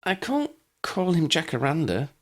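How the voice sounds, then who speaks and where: An earnest young girl from an audiobook, put on by a man. The voice is pushed up high over a husky base, with big swings in pitch and a decided manner. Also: wildly swooping pitch, normal speed. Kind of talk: audiobook character reading.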